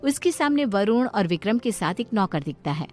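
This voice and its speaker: A woman's voice: mid-range voice, big pitch swings, normal speed, darkish tone. Woman narrating a story over music.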